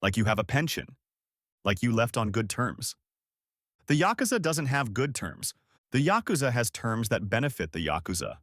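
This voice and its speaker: A man narrating a scripted second-person story in measured, clipped short sentences. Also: mid-range voice, big pitch swings, a bit bright, no echo, clean studio sound.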